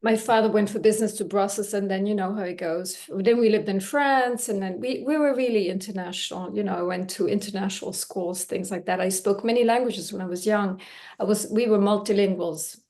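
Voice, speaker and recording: A woman's voice: mid-range voice, fairly even intonation, brisk, darkish tone. A woman from Finland whose first language is Swedish, a language teacher chatting casually and animatedly on a call, laughing often. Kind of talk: casual chat on a video call.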